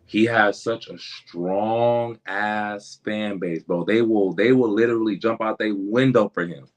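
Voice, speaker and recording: Brief: male rapper guest with a very low voice, casual slang-filled talk full of stops and restarts. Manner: slangy, halting, with many restarts and "you feel me" asides. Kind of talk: casual conversational interview.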